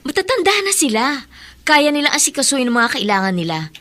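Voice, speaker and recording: Impatient, animated young woman. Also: high voice, fast talker, slight background noise, heavily acted. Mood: insistent, annoyed. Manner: insistent, indignant. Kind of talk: radio drama acting.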